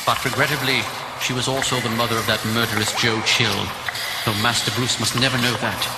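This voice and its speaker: An actor playing a loyal, discreet manservant with a formal, measured way of speaking. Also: male voice, medium pitch, level tone, heavy background noise, close to an everyday voice. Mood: discreet, reflective.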